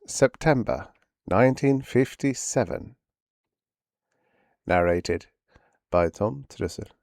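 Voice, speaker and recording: Guy narrating an audiobook, announcing stuff real slow n deliberate, dry studio-tight sound. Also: on the low side, darkish tone, no room sound. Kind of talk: scripted narration, reading titles and authors.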